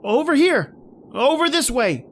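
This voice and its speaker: A man's voice: very high voice, big pitch swings, unhurried, a bit bright, slight background noise, exaggerated voice. A man playing a haunted, panicky man stranded in polar cold, telling his ordeal in an old-time radio horror style. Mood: desperate shouting. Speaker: podcast host and actor.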